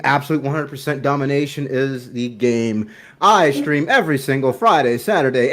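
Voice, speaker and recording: A man co-hosting a casual gaming stream, laughing often and tripping over his words while he chats about himself. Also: medium pitch. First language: English. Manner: laughing, self-deprecating, stumbles over words.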